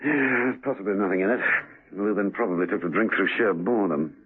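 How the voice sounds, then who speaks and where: An actor playing a suave, brooding gentleman secret agent in a 1970s radio drama, clipped and wry. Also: on the low side, a little fast, slightly rough. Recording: narrow muffled 1970s radio recording.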